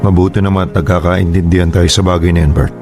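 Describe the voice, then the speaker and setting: A protective, demanding Filipino father in a radio drama. He speaks low and fast, stern and ready for a fight, with light music underneath. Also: fairly even intonation. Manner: stern, confrontational.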